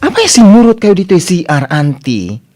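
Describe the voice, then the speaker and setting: Comedy radio drama niece, played by a woman: fussy, exasperated, Ilocano accent, wildly animated pitch. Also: normal speed, faint music behind. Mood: impatient, hurrying. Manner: exclamatory, swooping. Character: fussy, exasperated niece hurrying her relatives along.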